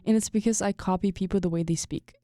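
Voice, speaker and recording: A woman's voice: medium pitch, steady tone, fast talker, warm tone, dry recording. A young woman with a German accent, hosting a podcast and chatting openly, thinking aloud with lots of fillers. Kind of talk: casual conversation.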